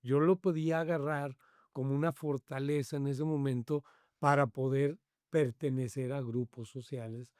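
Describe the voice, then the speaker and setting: A middle-aged Mexican man with a Mexican accent, a podcast guest telling his life story in an animated, slangy way. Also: medium pitch, normal speed. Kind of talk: storytelling in casual interview.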